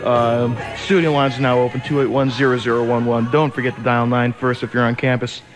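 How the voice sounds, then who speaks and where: A young man's mid-pitched voice with a sleepy, rambling delivery, DJing casually between songs. He hesitates as he goes, with some music behind him on an over-the-air college radio recording. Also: little pitch movement, fairly quick. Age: young adult.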